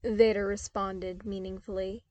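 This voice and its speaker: Unhurried woman narrating a story. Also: medium pitch, little pitch movement, darkish tone, no echo. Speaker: audiobook narrator. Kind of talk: expressive scripted story narration.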